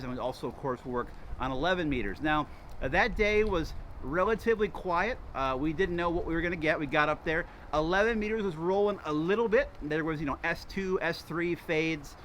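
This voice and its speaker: A man's voice: on the high side, fairly bright tone, slight background noise. An American man chatting casually and enthusiastically in a folksy way, as the host of a hobby vlog. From Wisconsin, United States. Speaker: host of a ham radio hobby channel. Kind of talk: casual vlog chat, reading out viewer responses.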